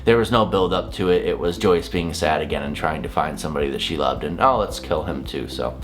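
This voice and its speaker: A man in his thirties with a low voice talks casually to camera like a video reviewer. He is blunt and exasperated, rambling through his opinions. Faint guitar music plays behind him. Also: a little fast. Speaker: reviewer, vlogger. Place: small home office, indoors.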